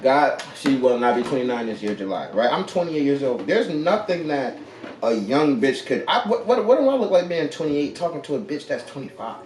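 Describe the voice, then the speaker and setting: A man in his late twenties co-hosting a podcast, loud and animated, talking in slang and joking a lot. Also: mid-range voice, brisk, roomy sound, a crowd in the background. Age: 20s. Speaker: podcast co-host. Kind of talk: casual chat.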